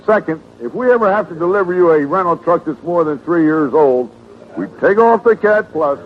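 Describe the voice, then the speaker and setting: Guy reading old radio ad, persuasive, lots of intonation, highish voice, muffled. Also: animated, fairly quick, a little hiss or hum. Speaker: commercial announcer. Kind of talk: scripted advertising narration. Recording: dull vintage radio recording.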